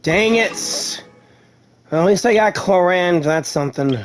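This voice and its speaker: A man's voice: sits high, animated, unhurried, faint music behind. A man giving casual let's-play commentary as a gaming YouTuber.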